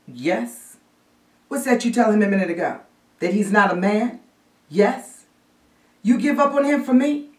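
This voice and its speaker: A woman's voice: medium pitch, a little mellow, pitched above the performer's own voice, close to an everyday voice. A woman playing a stern, loving matriarch reproaching a grown child in emphatic vernacular in a stage drama. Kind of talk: classic stage drama monologue. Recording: roomy echo, distant microphone.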